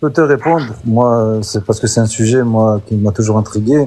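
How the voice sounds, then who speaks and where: A man's voice: on the low side, normal speed, warm tone, faint music behind. A man joining a live discussion who speaks directly and questions the point being made.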